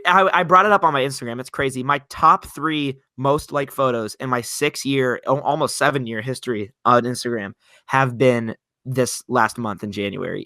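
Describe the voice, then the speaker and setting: A man's voice: medium pitch, sharp tone, no room sound. A nineteen-year-old man co-hosting a casual podcast, chatty and rambling with lots of "like". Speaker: podcast co-host. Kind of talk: casual chat. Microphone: home mic, talking online.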